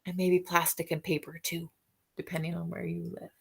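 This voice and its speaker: A woman reading a picture book aloud to children, expressive, with soft musing questions for young listeners. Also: fairly low pitch, moderate pace, vocal fry, breathy edge. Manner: expressive reading, alternating with softer musing asides and hums. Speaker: read-aloud storyteller for children.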